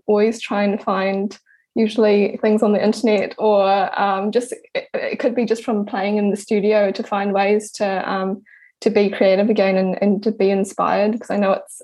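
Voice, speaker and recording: A young woman in her twenties with a New Zealand accent, an artist answering interview questions in a relaxed, hesitant way with many pauses and restarts. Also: medium pitch. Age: 20s. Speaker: guest, artist.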